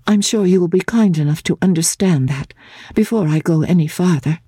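A woman voicing a gentle, composed young lady in a classic literary drama, speaking formally and earnestly in a soft, breathy, sometimes hesitant voice. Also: lowish voice, no echo, clean studio sound, pitched above the performer's own voice. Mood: nervous, earnest. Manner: formal, measured, hesitant in places.

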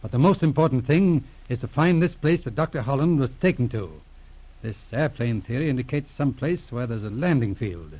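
An actor playing a businesslike police inspector in a 1940s radio drama, courteous, calm and matter-of-fact. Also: male voice, mid-range voice, brisk, lightly acted, poor audio. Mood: deliberating. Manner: matter-of-fact, clipped questioning.

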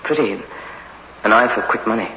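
Haunted young prisoner, nervous, stammering, pleading, strained sharp voice, vintage radio thriller, roomy and hissy. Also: male voice, lowish voice, normal speed. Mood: subdued, anxious. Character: frightened, haunted young male prisoner, meek and scholarly. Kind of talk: 1960s radio suspense drama acting. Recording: echoey, muffled, noisy old radio recording.

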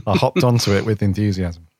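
A man's voice: on the low side, darkish tone, no echo. A man co-hosting a podcast in casual chat, joking, chuckling and rambling loosely. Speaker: podcast co-host.

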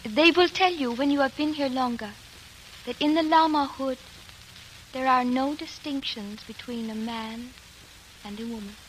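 A woman playing a serene young girl musician of a monastery, soft and calm, in a 1940s radio drama. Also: high voice, unhurried, a little hiss or hum, low-quality recording. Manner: soft, calm, simple replies.

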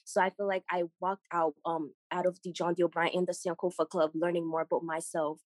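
A woman's voice: fairly low pitch, level tone, fairly quick, a little mellow, no room sound. A young woman from Boston, born in Haiti, giving an earnest, conversational personal account as an interview guest. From born in Haiti, grew up in Boston. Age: late teens, young adult. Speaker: scholarship recipient, podcast guest.

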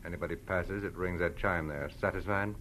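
An American actor playing a surly, suspicious club-owning racketeer in a 1940s radio drama, curt and sarcastic. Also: male voice, low-pitched, fairly quick, fairly bright tone. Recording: noisy vintage radio recording.

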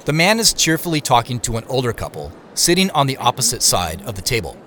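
An actor playing a weary, wry man who narrates his own secret quest in an audio drama, in a measured way. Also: mid-range voice, very animated, fairly bright tone, slight background noise. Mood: measured, watchful. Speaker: narrator and lead character. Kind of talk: first-person audio drama narration and dialogue.

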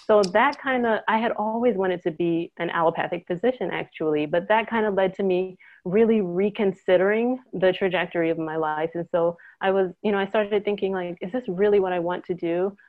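A woman's voice: mid-range voice, a little fast, hardly any pauses, dry recording, narrow, tinny audio. A woman from Trinidad telling her personal story as an interview guest, a naturopathic doctor, over thin call audio. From Trinidad, then the United States.

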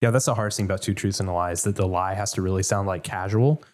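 A man's voice: low voice, quick pace. A man from Houston hosting a casual interview, rambling with lots of fillers. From Houston, Texas. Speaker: host.

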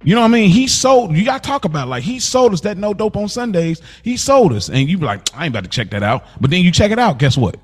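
A man's voice: high-pitched, big pitch swings, fast talker, few pauses, husky. An American man co-hosting a casual podcast, talking in an emphatic, encouraging way and often repeating himself for effect. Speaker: podcast co-host. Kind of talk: casual chat. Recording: music playing behind the talk.

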